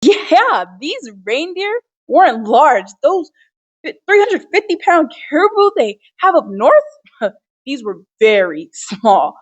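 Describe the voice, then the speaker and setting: Earnest storybook father played by a woman: high-pitched, very animated, theatrical reciter of Christmas wonder. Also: slow pace, highs cut off, full character voice. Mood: excited recollection. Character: Professor Moore, earnest father recounting Christmas Eve wonder.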